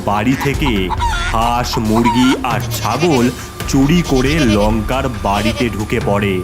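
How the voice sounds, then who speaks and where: A man's voice: mid-range voice, measured pace, over loud music. A man narrating a scripted story in a dramatic storytelling style.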